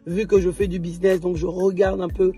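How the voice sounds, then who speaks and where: A woman from DR Congo, an entrepreneur chatting casually to her viewers in a vlog. She is slightly hoarse and often restarts and repeats words. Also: on the low side, little pitch movement, fairly quick, dark tone, faint music behind. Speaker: entrepreneur and vlogger.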